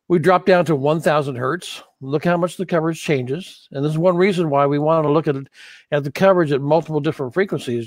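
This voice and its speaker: A man giving an unscripted, explanatory lesson as an audio instructor, speaking haltingly with frequent pauses. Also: medium pitch, no echo.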